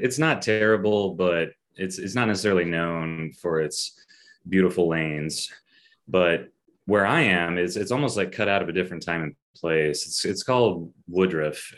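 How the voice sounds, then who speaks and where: Deep-voiced male writer, measured. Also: a bit bright. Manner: measured, descriptive, with dry asides. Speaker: writer and podcast guest. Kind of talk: casual conversational interview.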